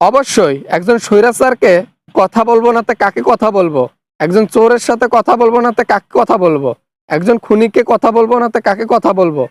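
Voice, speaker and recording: A man's voice: sits high, animated, fairly quick, no room sound. A man with a Bangladeshi accent hosting a satirical cartoon skit, speaking in a scolding, sarcastic way. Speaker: parody channel host, accuser in skit.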